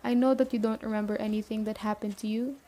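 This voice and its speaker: A woman playing a stern but caring survivor camp leader in an ASMR roleplay, speaking briskly and matter-of-factly straight to the listener. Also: a little high, steady tone, warm tone, lightly acted. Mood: businesslike, earnest. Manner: brisk, matter-of-fact, addressing the listener directly.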